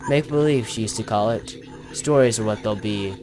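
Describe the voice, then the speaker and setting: A man's voice: fairly low pitch, moderate pace, over music, low-quality recording. A man commentating casually on his own video game playthrough, thinking aloud as he plays.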